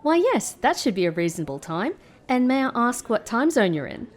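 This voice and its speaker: An actress playing a guarded, sardonic former secret agent in an audio drama, polite and measured with a dry wit. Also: female voice, a little high, sing-song. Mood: polite, professional. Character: guarded, sardonic woman, former secret agent turned call-centre worker.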